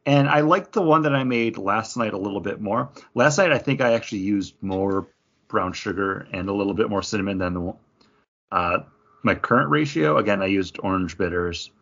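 Guy chatting on a podcast, kinda raspy and low, moves around in pitch, dull audio. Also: moderate pace, fairly bright tone. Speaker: podcast co-host. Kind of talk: casual chat.

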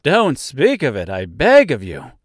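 A man's voice: mid-range voice, wildly swooping pitch, measured pace, no echo, studio quality. A man voicing a modest, polite tin man with an earnest, courteous manner in a storybook reading. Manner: courteous, earnest, gentle-hearted. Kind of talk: theatrical storybook character reading.

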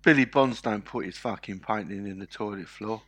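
A man's voice: on the low side, normal speed, fairly bright tone. A man chatting casually about football, sweary and fond of taking the mickey. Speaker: podcast panellist.

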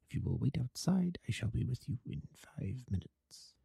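A man reading a story aloud, voicing a calm, masterful detective who speaks in a precise, definite way. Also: lowish voice, moderate pace, dry recording, lightly acted. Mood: hushed.

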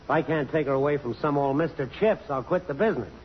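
An actor playing a cocky, wisecracking press photographer, breezy and sardonic, in 1950s radio drama style. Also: male voice, fairly high pitch, fast talker, natural voice. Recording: muffled, noisy old radio recording.

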